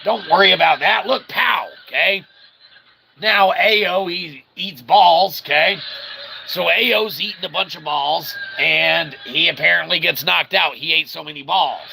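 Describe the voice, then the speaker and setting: A man's voice: fairly high pitch, creaky, noisy background. A man hosting a casual, rambling game livestream in a jokey, mock-earnest showman manner. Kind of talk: casual rambling let's-play commentary.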